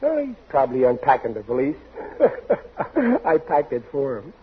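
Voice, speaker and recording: A man's voice: mid-range voice, wildly swooping pitch, unhurried. An actor playing a blundering, cocksure working-class father in 1940s radio comedy, stammering and overconfident. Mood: smug. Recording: muffled, hissy 1940s radio recording.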